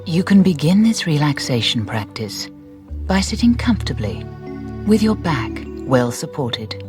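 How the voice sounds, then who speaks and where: A woman narrating a guided meditation in a soft, breathy voice, soothing and deliberate, with gentle phrasing and pauses. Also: sits low, big pitch swings. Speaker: relaxation guide. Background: music.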